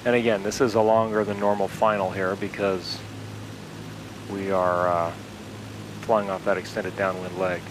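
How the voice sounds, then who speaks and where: A man hosting a flight simulator video, calmly talking through each step aloud as he flies from a home cockpit, speaking into an aviation headset. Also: sits low, moderate pace, noisy background. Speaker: flight sim video host. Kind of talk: casual instructional narration while flying.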